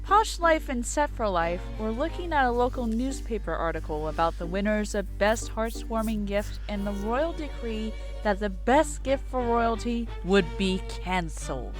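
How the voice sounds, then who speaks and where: A woman's voice: a little high, expressive, normal speed, few pauses, over music, close to an everyday voice. A woman reading as the narrator of a dramatic storybook tale.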